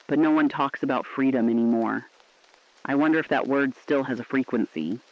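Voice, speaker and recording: A man's voice: mid-range voice, brisk, lightly acted. A man playing a defiant, sombre resistance radio correspondent, speaking earnestly and poetically in a scripted drama. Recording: muffled, lo-fi radio-drama sound.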